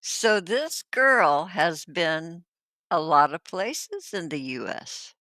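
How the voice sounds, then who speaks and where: A woman co-host in a casual reaction chat, amused and chatty, with drawn-out asides. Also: lowish voice, expressive, bright, forceful tone, no echo.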